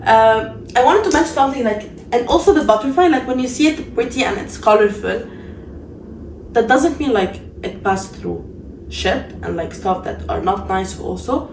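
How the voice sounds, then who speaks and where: A woman's voice: fairly high pitch, animated, a little mellow, echoey room, distant mic, slight background noise, poor audio. A woman from Lebanon, hosting a casual podcast chat and cheering her guest on. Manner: encouraging, quick interjections. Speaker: podcast host.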